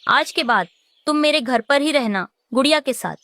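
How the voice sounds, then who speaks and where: A woman's voice: high voice, expressive, normal speed, fairly bright tone, dry recording. A woman voicing a caring, protective mother bird in a children's cartoon. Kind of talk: animated children's story acting.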